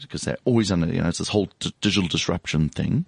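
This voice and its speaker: A man with a hoarse, husky voice, speaking as a guest on a studio radio show, reflective and hesitant, with many fillers. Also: low-pitched, very fast, no room sound. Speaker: bank executive guest. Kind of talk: casual radio chat.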